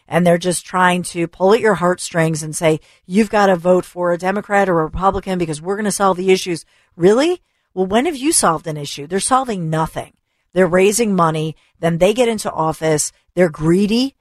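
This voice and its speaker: A talk radio host, a woman, delivering an opinionated rant full of rhetorical questions and repetition, her voice going hoarse. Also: on the low side, dry recording, clean studio sound. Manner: emphatic, rhetorical questions, repeats for stress. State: losing her voice. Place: radio studio. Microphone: studio microphone.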